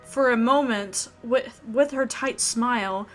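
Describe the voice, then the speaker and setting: An unhurried, fairly high-voiced woman narrates a horror visual novel. She acts out the inner thoughts expressively and drops in casual asides, with music playing behind her. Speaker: host of a game playthrough, reading aloud. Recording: background music under the voice.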